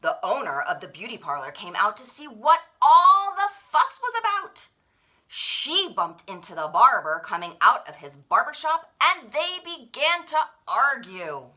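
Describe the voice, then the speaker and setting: A woman's voice: sits high, huge pitch swings, a little slow, pushed and bright. A woman reading a children's picture book aloud in a theatrical way, drawing out the refrains. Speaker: children's story reader at a library.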